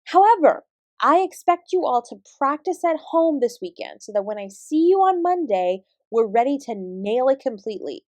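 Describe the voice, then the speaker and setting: A woman acts out an apsara living quietly as a dance teacher in an audio drama. Her voice sits fairly high and moves with very animated intonation. She has an instructive, composed manner, recorded in a dry space. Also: normal speed, dry recording. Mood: proud, encouraging. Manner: encouraging, instructive, addressing a class. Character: female apsara dance teacher, warm, encouraging, defensively composed. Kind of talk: roleplay audio drama acting.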